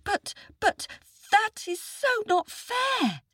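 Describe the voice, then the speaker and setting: Woman as a high-pitched, pleading little village boy. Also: big pitch swings, extremely slow, fairly bright tone, dry recording, studio quality, full character voice. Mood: indignant. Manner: pleading, protesting. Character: earnest, frightened, indignant little village boy.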